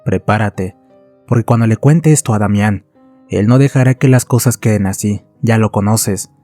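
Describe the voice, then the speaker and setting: A man's voice: fairly low pitch, normal speed, mellow, no room sound, soft background music, natural voice. A man voicing an angry older brother in a horror story, stern and accusing. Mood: angry scolding.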